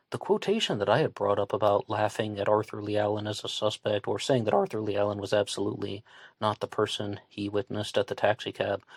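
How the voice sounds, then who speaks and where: A man from West Virginia with a low voice and a light West Virginian accent talks through a true crime case in a loose, conversational way, often hedging and circling back mid-sentence. His voice is close and dry, with no room sound around it. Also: gravelly, no room sound. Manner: conversational, thinking aloud with hedges and restarts. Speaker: podcast host.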